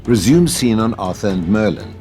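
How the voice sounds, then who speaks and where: Male narrator, lowish pitch, scripted stage-direction reading, clear music bed behind. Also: sing-song, normal speed, a little mellow. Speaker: narrator of a script table read.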